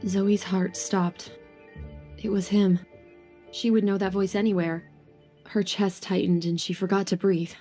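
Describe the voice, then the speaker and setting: A woman's voice: medium pitch, flat delivery, unhurried, pauses often, mellow, faint music behind. A woman narrating a scripted romance audio drama.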